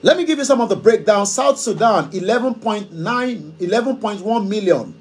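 A man's voice: sits high, lively intonation, moderate pace. A man in his forties with a Yoruba Nigerian accent, hosting call-in radio and reading listener comments in an emphatic, questioning way. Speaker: radio host. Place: studio room before green screen.

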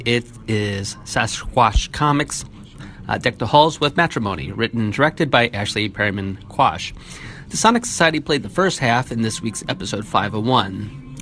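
A man's voice: medium pitch, a bit bright. A man leaving a friendly, chatty recorded message for a podcast as a listener. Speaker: listener caller.

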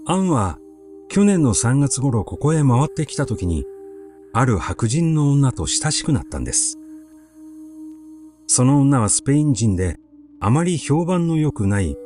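Man reading a story with lively intonation. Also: mid-range voice. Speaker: audiobook reader.

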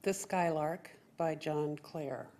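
Older woman, lowish voice, slow verse reading. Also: fairly even intonation, dark tone. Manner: measured, deliberate. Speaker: poetry reader. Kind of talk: reading a poem aloud to an audience. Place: library meeting room. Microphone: gooseneck podium microphone.

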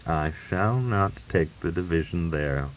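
A man's voice: sits low, very animated, moderate pace, distant mic, a little hiss or hum. Man acting a defiant, thick-voiced military commander, muffled sound. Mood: stubborn refusal. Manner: stubborn, challenging. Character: defiant, proud, hot-blooded male Cossack division commander. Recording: dull lo-fi recording.

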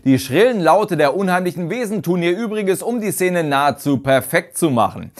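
A man in his thirties narrating a scripted review in a measured, articulate way as the host. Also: high-pitched, big pitch swings. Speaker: host of a ranking video about audio dramas. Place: small furnished room indoors.

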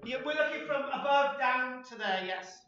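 A man's voice: very high voice, animated, moderate pace, recorded from a distance, very noisy. A man in his forties giving a chatty, rambling archaeology talk with slides, full of ums and asides, recorded on a laptop webcam in a small room. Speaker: archaeology presenter. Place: small room with a projector screen. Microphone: laptop webcam below him. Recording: echoey room, dull lo-fi laptop audio.

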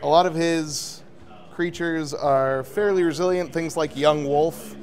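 A man with a slightly high, strained and scratchy voice giving casual color commentary. He breaks down matchups in an analytical, matter-of-fact way. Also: steady tone, measured pace. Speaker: tournament commentator. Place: card shop event.

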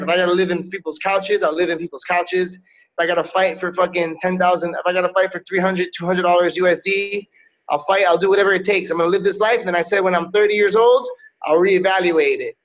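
High-voiced fighter with street slang. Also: male voice, fairly even intonation, fast. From Miami. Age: 30s. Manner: streetwise slang, frequent "yo" and "you know what I'm saying". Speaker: MMA fighter, guest. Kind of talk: casual interview over video call. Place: indoors, small room. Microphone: video call webcam. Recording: slightly dull lo-fi call audio.

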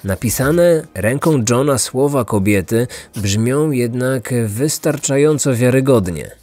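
A man narrating a scripted true-crime story as a podcast host, in a measured storytelling manner. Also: mid-range voice, expressive, a little mellow, faint music behind.

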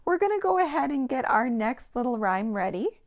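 An American woman in her thirties presenting a children's storytime, speaking clearly and animatedly in simple phrases to young children. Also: on the high side, very animated, moderate pace. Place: indoor children's play room.

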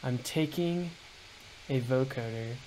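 A man's voice: takes it slowly, a little mellow, slight background noise, rough recording. A young man, a music producer, giving a laid-back, casual screen-share tutorial. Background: synth bass sounds.

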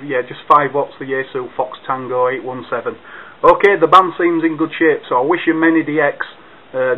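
A man working as an amateur radio operator, talking in clipped procedural phrases and phonetic call signs during a radio contact. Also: mid-range voice. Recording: narrow tinny sound with faint hiss.

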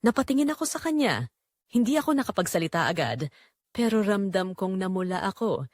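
Woman as a middle-aged mother-in-law, confessional drama narration, yearning and torn, highly animated intonation, dry close sound. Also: no echo. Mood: longing, torn. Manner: deliberate, emotive, short dramatic phrases. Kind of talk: dramatic first-person scripted narration.

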